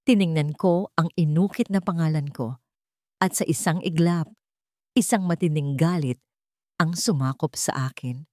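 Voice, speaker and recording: A woman narrates a romantic drama in a low voice. The delivery is scripted first-person storytelling, and the sound is dry and close, with very little room in it. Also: a little slow, warm tone, no room sound.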